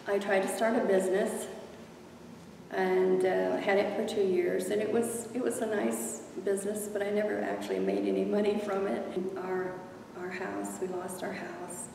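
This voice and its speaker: A woman's voice: mid-range voice, little pitch movement, moderate pace, vocal fry, a little mellow. A woman in her sixties recalling hard times in a reflective, hesitant way. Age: 60s. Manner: reflective, hesitant, lots of fillers. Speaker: senior housing resident. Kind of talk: interview testimony. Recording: hall-like reverb, distant mic, noise.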